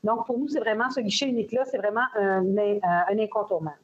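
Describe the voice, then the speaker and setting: A woman's voice: mid-range voice, steady tone, fairly quick, slightly rough, muffled recording. A woman with a Québécois accent presenting a prepared statement at a hearing, earnest, with folksy sayings. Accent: Québécois French. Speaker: union representative presenting a brief.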